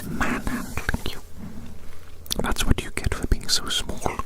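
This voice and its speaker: Breathy whisper, ASMR roleplay, fussy, deliberate, repetitive phrasing. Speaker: ASMR performer.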